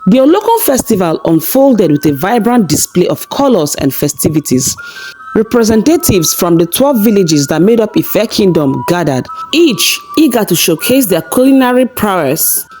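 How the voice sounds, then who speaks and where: A woman's voice: medium pitch, very animated, normal speed, a little mellow, faint music behind, poor audio. A woman narrating a scripted folktale as a storyteller.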